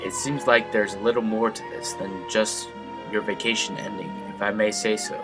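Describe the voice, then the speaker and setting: Frank starship officer, male actor, lowish pitch, sci-fi audio drama, clear music bed. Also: steady tone, moderate pace, lightly acted.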